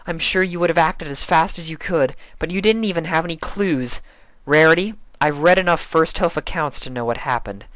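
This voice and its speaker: Young female cartoon-style unicorn, played by a man: raised pitch, anxious, fierce, storybook reading. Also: fairly bright tone, no echo, lightly acted. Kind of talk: fan fiction character reading.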